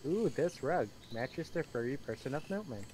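A man's voice: a little high, lively intonation, normal speed, darkish tone, a little hiss or hum. A man chatting casually with teammates during a video game. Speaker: gaming teammate.

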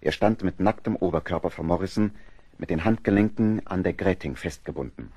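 A man as the grave narrator of a seafaring audio drama, telling the story in a measured, scripted manner. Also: low-pitched, mellow, slightly rough, dull audio.

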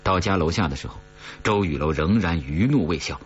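A man with an extremely low voice narrates a horror audiobook. His delivery is dramatic storytelling, read from a script. The recording is dull, with a faint hum underneath. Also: lively intonation, fairly quick.

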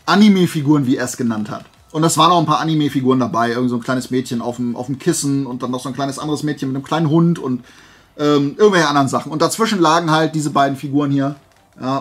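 A man chatting casually and playfully to camera as a vlogger, rambling through asides. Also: on the high side. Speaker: YouTuber showing flea market finds.

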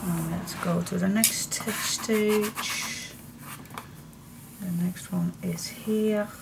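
A woman's voice: medium pitch, steady tone, extremely slow. A middle-aged Dutch woman with a Dutch accent, hosting a casual, chatty craft tutorial and often murmuring to herself as she works. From Netherlands. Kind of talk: casual chatty hands-on demonstration. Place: indoor craft table. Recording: clearly noisy room recording.

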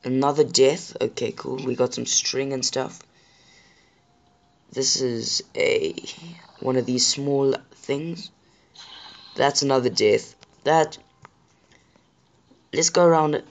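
A man with a sore throat hosts a gaming channel, talking over his play at a middle pitch. His voice sounds a bit rough, and he chats casually and apologetically to his viewers. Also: steady tone, slow pace, pauses often. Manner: chatty, apologetic, addresses viewers directly. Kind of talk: casual gameplay commentary.

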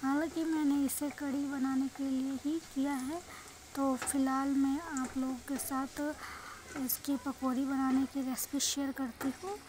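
A woman's voice: high voice, level tone, measured pace, darkish tone. A woman with an Indian accent giving a chatty, repetitive cooking demonstration to viewers as a home cook. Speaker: home cook and vlog host. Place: indoor home kitchen, beside frying pan. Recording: noisy kitchen phone recording.

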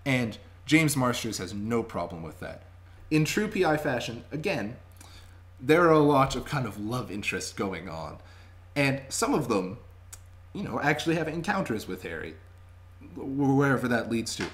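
A man's voice: medium pitch, sing-song, normal speed. Enthusiastic young man giving a casual review. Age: young adult. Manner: enthusiastic, chatty, emphatic. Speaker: vlogger reviewing audiobooks. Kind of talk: casual spoken review to camera. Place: small indoor room at home. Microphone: webcam microphone at arm's length.

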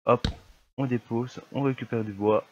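A man's voice: mid-range voice, fairly even intonation, normal speed, highs cut off. A young man with a cold talking through his gameplay in casual, grumbling commentary, with a slightly stuffy voice. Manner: grumbling, easily annoyed at lag. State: has a cold, coughing. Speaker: gamer.

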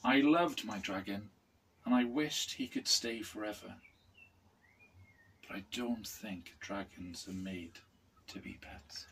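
Bright-voiced man reading slowly. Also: fairly low pitch, slight background noise, rough recording. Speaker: teacher reading a storybook aloud. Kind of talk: expressive children's picture book reading. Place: small wooden room, indoors.

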